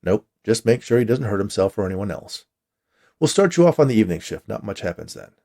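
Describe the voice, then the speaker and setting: Man reading as matter-of-fact veteran minder, gravelly. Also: on the low side, very animated, brisk, darkish tone, heavily acted. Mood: briskly instructing. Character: matter-of-fact veteran watcher training a new hire.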